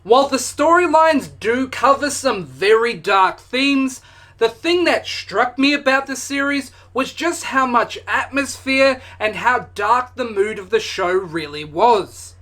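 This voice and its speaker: A man in his thirties narrating a scripted retrospective review in a measured, reflective way. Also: very high voice, dry recording. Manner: measured, reflective, even delivery.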